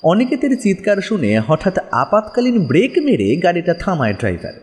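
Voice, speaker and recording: A man's voice: high voice, wildly swooping pitch, normal speed, heavy background noise. A man narrating a scripted horror audio story in a dramatic storytelling style. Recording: long reverb, music underneath.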